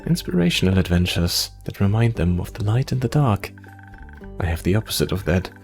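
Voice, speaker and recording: A man's voice: sits low, a little mellow. A man voicing an anxious, self-doubting young adventurer from the forest, stammering and rambling in a visual novel. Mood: nervous, confiding. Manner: nervous, hesitant stammering and rambling. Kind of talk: visual novel character read-aloud. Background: music.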